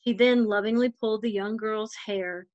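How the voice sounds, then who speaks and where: A woman's voice: mid-range voice, steady tone, normal speed, darkish tone, no echo, dull audio. A woman narrating a children's storybook as a volunteer storytime reader.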